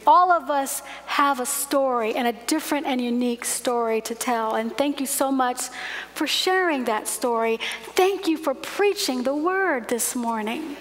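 A woman in her forties preaching a sermon at a lectern in a large church, telling stories in a gentle, measured way. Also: high voice. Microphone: lectern microphone. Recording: long hall reverb.

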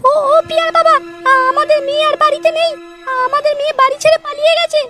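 A woman voicing a shrill, frantic parent in a comedy cartoon. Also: little pitch movement, quick pace, music in the background.

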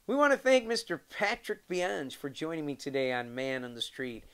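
Middle-aged American host, emphatic, higher-pitched. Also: male voice, very animated, moderate pace, a bit bright, clear, resonant tone. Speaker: interview show host. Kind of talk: presenting a street interview show. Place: wooden sunroom indoors.

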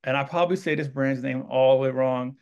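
Fast-talking Texan man hosting. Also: medium pitch, fairly even intonation, a little mellow, clean tone, highs cut off. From Texas. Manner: enthusiastic, effusive and rambling. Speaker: host. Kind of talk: interview hosting. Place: indoor studio room with wall art. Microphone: studio mic on boom arm.

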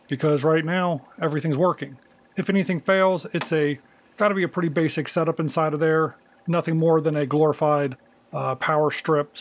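An American man narrates a casual demo as a video host. His voice sits a little higher than average for a man. The sound is close and dry, with very little room in it. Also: dry recording.

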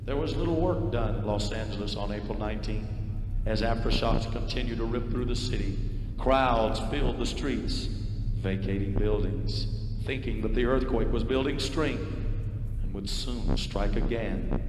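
A man's voice: lowish voice, normal speed, background noise. A man delivering dramatic scripted narration for a historical stage play. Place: large theatre hall, over PA, filmed from audience. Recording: long hall reverb, loud music behind.

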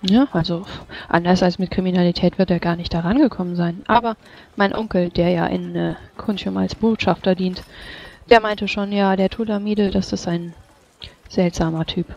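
A woman roleplaying in character at a fantasy tabletop game, in measured, formal phrasing. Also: lowish voice, steady tone, vocal fry, a little mellow. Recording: dull, lo-fi voice chat.

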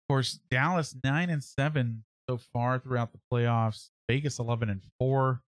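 A man in his thirties with a mid-pitched voice talks through sports analysis as a podcast host. He is casual and halting, working out his thoughts aloud. The sound is close and dry, with little room in it. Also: fairly even intonation, dry recording. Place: small indoor room at home, on a livestream webcam.

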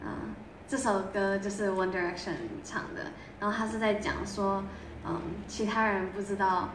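A young woman being interviewed as a vocal student, answering softly in a casual way with brief fillers. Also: mid-range voice, steady tone, moderate pace, noisy background. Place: living room, on a sofa. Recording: echoey room with music behind.

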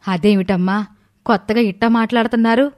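A woman's voice: fairly high pitch, steady tone, a little fast, darkish tone, natural voice. A woman as a stern older mother. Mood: shocked, indignant. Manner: reproachful, firm. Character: stern, aggrieved older mother of grown sons.

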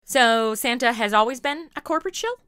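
A woman's voice: on the high side, fairly quick, a bit bright, smooth, dry recording. An American woman with a perky, chatty, slangy manner, hosting a scripted, playful podcast interview. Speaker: podcast host.

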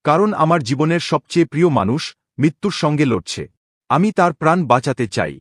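A man voicing a solemn, respectful young prince in an animated story, speaking formally and gravely. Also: fairly high pitch, brisk, no echo, clean studio sound. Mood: confessing, resolute. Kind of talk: dramatic Bengali animated story dialogue.

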